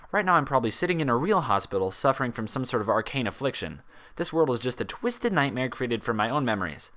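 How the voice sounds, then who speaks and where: A man's voice: medium pitch, fairly bright tone, voice pushed up. A man voicing an anxious, paranoid young female pony, thinking feverishly, in a storybook reading. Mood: anxious reasoning. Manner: hesitant aloud, feverishly theorizing in thought. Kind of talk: storybook character voice in fan fiction reading.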